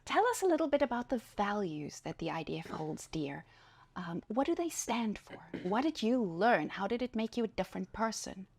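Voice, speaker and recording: A woman's voice: medium pitch, very animated, normal speed, a little mellow, breathy edge. A woman interviewer asking curious, eager follow-up questions, heard from a distance. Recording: off-mic from a distance.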